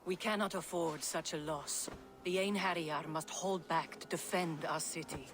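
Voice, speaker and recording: Freyja, calm measured goddess, played by a woman. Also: sits low, over music, lightly acted. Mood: cautious. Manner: measured, reasoning.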